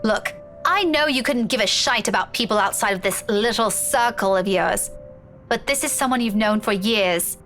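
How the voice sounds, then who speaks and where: A woman's voice: mid-range voice, moderate pace, fairly bright tone, faint music behind, close to an everyday voice. An actress in a visual novel playing a headstrong, exasperated young woman who speaks with sarcastic, accusing bite. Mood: angry reproach.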